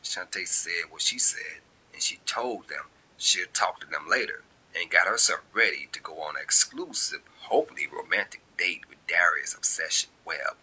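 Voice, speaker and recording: A man reading a short story as its narrator, in an expressive, colloquial storytelling style. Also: low-pitched, moderate pace, husky, low-quality recording. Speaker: author and narrator.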